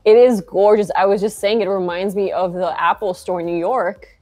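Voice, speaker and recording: A woman's voice: mid-range voice, fairly even intonation, moderate pace, a little mellow, faint music behind. A young woman from Argentina, a founder guest on a video call, talking casually with many fillers. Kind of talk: casual interview chat. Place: bright shop interior, video call.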